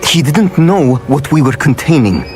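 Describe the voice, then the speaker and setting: An actor playing a stern, incredulous old wizard guardian in a video game, with an imperious, questioning manner. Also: male voice, a little high, big pitch swings, moderate pace, darkish tone, soft background music. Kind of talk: dramatic video game voice acting.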